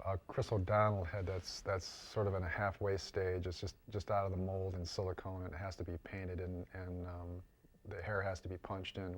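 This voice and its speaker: An older man explaining his craft in a casual interview, haltingly and often repeating words. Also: deep voice. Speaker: interviewed prop and mask maker.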